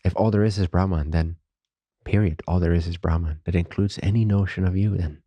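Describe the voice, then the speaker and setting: Very deep, creaky male meditation guide. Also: no room sound, lo-fi sound. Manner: calm, unhurried, reverent spiritual phrasing. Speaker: meditation teacher. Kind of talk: guided meditation, slow and hushed with long pauses.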